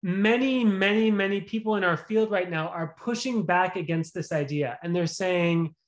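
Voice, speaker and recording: A man's voice: high voice, normal speed. A man, a visiting professor, giving an earnest lecture and answering questions in an online seminar. He often restarts phrases and checks in with "right?".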